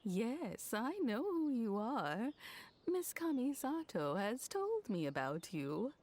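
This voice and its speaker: A genteel, kindly older lady from a video game. She talks very slowly and politely in a fairly high voice with lively rises and falls. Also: slight background noise. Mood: kindly, measured. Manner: gentle, polite, addresses listeners as dears.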